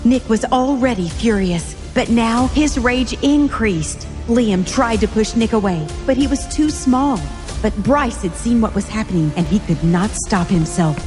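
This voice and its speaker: A woman's voice: fairly high pitch, lively intonation, measured pace, in a large hall. A woman narrating a scripted drama in a storytelling style. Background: music.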